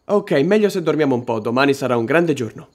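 A performer voicing an excitable, dim, argumentative young boy trainer in a cartoon parody dub. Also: mid-range voice, fast talker, lightly acted. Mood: irritated. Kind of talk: Italian fan parody dub of a game cartoon.

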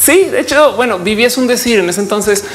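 A woman's voice: fairly high pitch, expressive, fairly quick, a little hiss or hum. A woman in her thirties chatting casually to camera as a YouTuber, informal and reflective, wandering off on tangents. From Colombia. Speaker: YouTuber host answering viewer questions. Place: indoors, small office.

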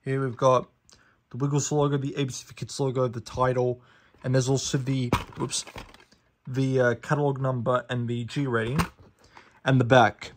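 A man's voice: medium pitch, level tone, normal speed, slight background noise. Chatty young man reviewing. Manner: fact-listing, self-correcting, chatty with fillers. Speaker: DVD collector reviewing a disc. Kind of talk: casual collector review. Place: small living room indoors. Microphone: phone camera held over the disc.

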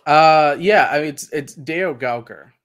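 A man from India, a guest on a video call interview from home, speaking in a relaxed, thoughtful way with lots of hedges. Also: on the high side, a little slow. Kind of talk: casual interview.

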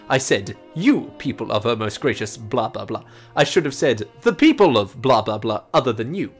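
A man reading out a letter as a haughty, roguish French gentleman thief, in a grandiose, mock-French voice. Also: medium pitch, lightly acted. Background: game music.

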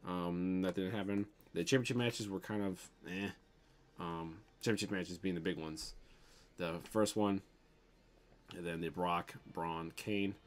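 A man's voice: low-pitched, steady tone, a little slow. A young man with a Midwestern accent, chatting loosely on a livestream from his bedroom and answering viewer comments, with lots of ums and casual swearing. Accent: Midwestern American. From American Midwest. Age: young adult. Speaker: toy unboxing livestream host. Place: bedroom, indoors.

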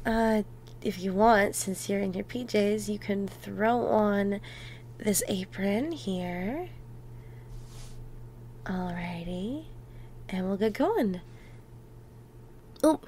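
A woman playing an affectionate, playful girlfriend in an ASMR roleplay, talking softly and intimately, sometimes close to a whisper. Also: mid-range voice, slight background noise. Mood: gently teasing. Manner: soft, affectionate, unhurried, dropping into near-whispers.

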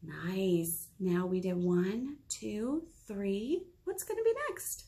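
American woman in her thirties, children's storytime librarian, very varied pitch, unhurried pace, encouraging, expressive. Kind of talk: storytime read-aloud with asides to children. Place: small indoor meeting room.

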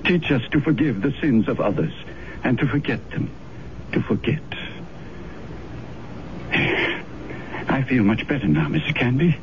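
Slippery, condescending gentleman for radio play; medium pitch, animated, faintly noisy vintage sound. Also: dull audio. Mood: pious pleading. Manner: condescending, pleading, deliberate. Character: smooth-talking, patronizing, evasive gentleman. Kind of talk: radio drama acting.

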